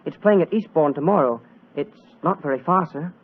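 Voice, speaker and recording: A man's voice: a little high, brisk, close to an everyday voice. An actor playing an earnest, deferential young British government man in a 1940s radio drama, polite and eager. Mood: earnest, hesitant. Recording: old muffled 1940s radio recording.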